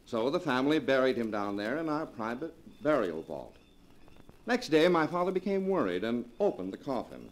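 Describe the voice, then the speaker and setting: A man's voice: medium pitch, big pitch swings, normal speed, close to an everyday voice. Man playing smug sardonic husband in old 40s radio play, theatrical, voice swings up and down a lot. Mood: sardonic, amused. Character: suave, sardonic husband, heir of a sinister family. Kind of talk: 1940s radio drama acting.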